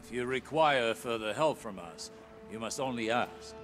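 An actor playing a courteous older sage in a video game, speaking in a formal, measured, diplomatic way. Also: male voice, mid-range voice, a bit bright, lightly acted. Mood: grave, diplomatic. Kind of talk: video game dramatic dialogue. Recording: clear music under the dialogue.

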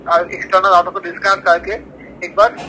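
A man from India working as a customer care agent, politely explaining and troubleshooting in halting, repetitive phrases, heard through a phone speaker. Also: sits high, flat delivery, pushed and bright. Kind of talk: customer support call, troubleshooting. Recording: phone call on speaker, faint background noise.

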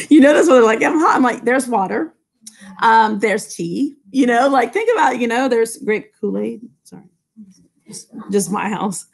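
Chatty middle-aged teacher reading aloud. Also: female voice, on the high side, animated, a little slow, vocal fry, long pauses. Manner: chatty asides full of "like" and "you know". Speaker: high school English teacher. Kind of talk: reading a novel aloud with commentary. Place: classroom with low ceiling and fluorescent lights. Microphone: laptop webcam from below.

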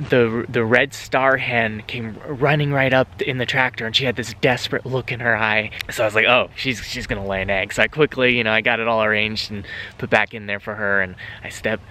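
A man's voice: mid-range voice, sharp tone. A man in his thirties talks earnestly and thoughtfully to a camera held at arm's length. He is out in an open pasture, and faint outdoor noise runs behind his voice. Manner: earnest, reflective, talking while walking outdoors. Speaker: homesteader vlogger. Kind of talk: casual vlog to camera.

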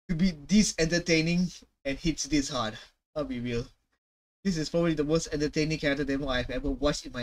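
A young man giving casual, emphatic and slangy reaction commentary as a video host. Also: a little high. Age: young adult. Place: small room at home.

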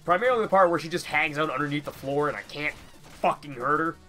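A man's voice: fairly high pitch, fast, bright, forceful tone, soft background music. A young man with a stuffy nose giving casual, rambling, self-deprecating gameplay commentary. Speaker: gamer, let's player.

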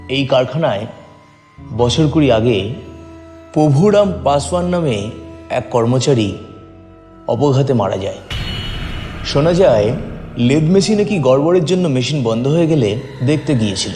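A man's voice: medium pitch, dark tone. A man narrating a first-person audio story in a dramatic, scripted storytelling style. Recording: roomy, long reverb.

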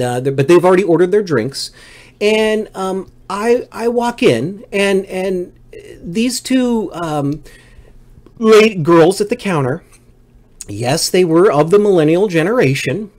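Middle-aged man, livestream storyteller, highly varied pitch, comic exasperated rant, freely swearing. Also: a little mellow. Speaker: livestream host. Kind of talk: comedic storytelling monologue.

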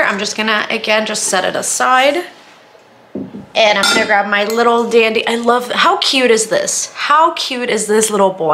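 A woman's voice: a little high, bright, forceful tone, slight background noise. A woman giving a casual, chatty home cooking demonstration, informal and full of self-deprecating asides. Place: indoors, home kitchen.

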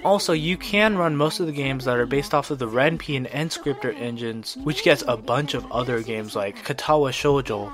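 A young man reading a scripted guide as a review voice-over. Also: medium pitch. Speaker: video guide narrator. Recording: music behind the voice. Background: background music.

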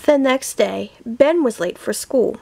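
Woman, storybook read-aloud for small kids; slightly high voice, unhurried, deliberate delivery. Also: creaky. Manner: slow, deliberate, expressive phrasing for young children. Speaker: teacher reading a children's picture book aloud.

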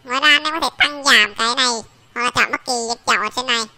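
A man voicing a small baby pig through a pitch-shifting voice changer, giving an informal software tutorial. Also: quick pace, a little hiss or hum, exaggerated voice. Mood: instructive. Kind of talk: informal screen-recorded tutorial.

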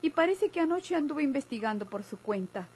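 Nosy young Mexican lady, very expressive. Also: high voice, a little fast, a little hiss or hum. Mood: suspicious. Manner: pointed, inquisitive. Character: inquisitive, suspicious young single woman, prying and insistent. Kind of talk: golden-age Mexican radio drama acting.

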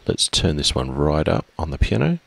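A middle-aged man teaching music software step by step in a steady, explaining way with small fillers, from a small room at his desk. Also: a bass voice, moderate pace, vocal fry. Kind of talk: screen-recorded software tutorial.

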